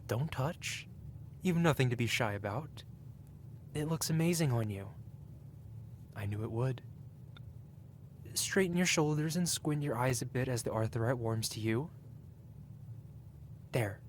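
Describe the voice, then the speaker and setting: A man acts out a wealthy, possessive male dragon in an intimate ASMR roleplay. The voice sits at a medium pitch, with elegant, unhurried phrasing and a teasing purr. Faint noise sits behind the recording. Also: close to an everyday voice. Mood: teasing, coaxing.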